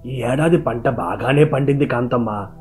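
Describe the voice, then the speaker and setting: A man voicing a weary, practical, grumbling village farmer father in an animated moral story. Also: medium pitch, moderate pace, soft background music, lightly acted.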